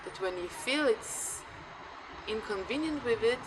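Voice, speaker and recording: Distant, noisy recording of halting Israeli woman. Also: a little high, animated. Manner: halting, searching for words in non-fluent English. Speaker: documentary interviewee. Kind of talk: reflective personal account. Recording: noisy ambience, distant microphone.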